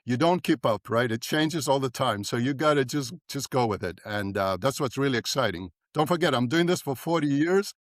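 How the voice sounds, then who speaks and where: Older man from South Africa, dentist guest on a podcast, animated, keeps asking "right?", mid-pitched voice, dry sound. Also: moderate pace, few pauses, no room sound. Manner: animated, repeats "right?" often. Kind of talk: podcast interview.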